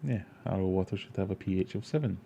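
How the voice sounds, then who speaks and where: A man's voice: sits low, quick pace, gravelly, dark tone, a little hiss or hum. A Scottish man from Glasgow hosting an aquarium livestream, casually answering chat questions and rambling with self-deprecating asides. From Glasgow, Scotland.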